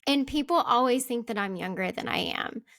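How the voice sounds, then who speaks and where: A soft-spoken woman hosting a casual interview, chatty and full of "like" fillers. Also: a little high, expressive, brisk, no room sound, studio quality. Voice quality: soft-spoken, not loud.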